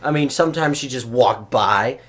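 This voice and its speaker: A man telling work anecdotes in a casual chat, animated and swearing freely. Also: medium pitch, normal speed. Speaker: storyteller on a comedy chat show.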